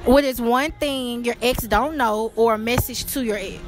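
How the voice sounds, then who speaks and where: A young woman interviewing people in a shopping mall, pushing and teasing them to spill their answers. Her voice sits high. Store music plays loudly behind her phone mic. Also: moderate pace. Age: young adult. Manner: teasing, pushy, laughing. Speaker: street interviewer. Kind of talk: casual street interview. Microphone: phone held out.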